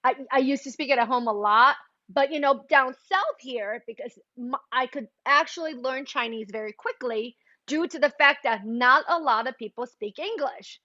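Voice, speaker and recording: A woman hosting a live interview, chatty and lively, whose first language is Taiwanese, talking over a video call. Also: sits high, normal speed, no echo. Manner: chatty, lively, code-switching. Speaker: host. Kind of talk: casual interview chat. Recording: muffled lo-fi stream audio.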